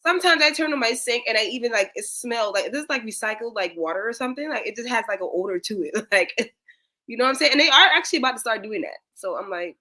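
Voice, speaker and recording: A woman's voice: fairly high pitch, quick pace. A young woman with a South Carolina Geechee accent, talking in a casual, slangy way as a guest commentator. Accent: Gullah Geechee, South Carolina. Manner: animated, slangy, many "like" fillers. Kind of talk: casual chat.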